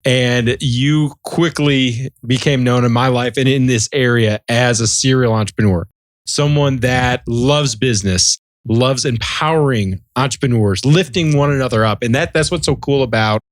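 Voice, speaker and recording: A man's voice: medium pitch, normal speed, no room sound. A man hosting a podcast interview, upbeat and joking, who laughs easily between his questions. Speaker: podcast host.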